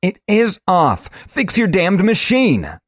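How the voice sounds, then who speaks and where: A man's voice: fairly low pitch, sing-song, brisk, darkish tone, dry recording, clean studio sound, pitched above the performer's own voice. A man voicing a cocky, impatient young male initiate who argues and coaxes. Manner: insistent, then coaxing.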